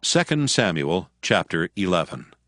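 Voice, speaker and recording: A man narrating scripture from a script in a formal, measured, solemn manner. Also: sits low, sing-song, fairly bright tone. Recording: music behind the voice.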